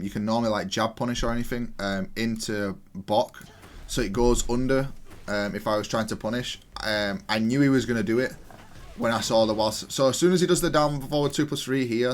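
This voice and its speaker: Low-voiced man breaking down gameplay. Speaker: gaming content creator. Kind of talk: casual match analysis commentary.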